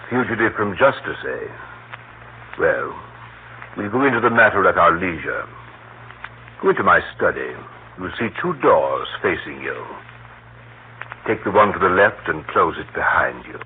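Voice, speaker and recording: Suave old male villain, deep voice, measured, mock-polite, radio drama, narrow vintage broadcast sound. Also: sing-song. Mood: calm command. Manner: formal, measured, mockingly polite. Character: elderly gentleman villain, suave, coolly menacing, unhurried. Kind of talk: 1930s radio drama acting. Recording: muffled, hissy 1930s radio broadcast.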